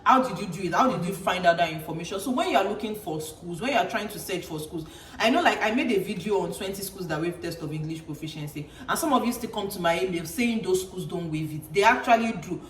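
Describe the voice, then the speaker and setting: Fast-talking Nigerian woman in an echoey room. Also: fairly low pitch, lively intonation. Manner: fast, chatty, peppered with "like". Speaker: channel host, graduate student. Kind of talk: casual advice chat. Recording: roomy echo, distant mic, faint background noise.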